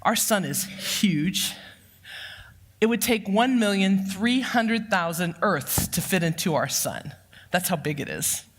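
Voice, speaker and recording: A woman in her fifties preaching with a naturally loud voice, mixing jokes and family anecdotes into her message. Her voice sits at an ordinary pitch and rings out with the long reverb of a church hall. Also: takes it slowly. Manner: lively, humorous, anecdotal. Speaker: church counseling director giving the sermon. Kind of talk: personal storytelling sermon. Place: church sanctuary.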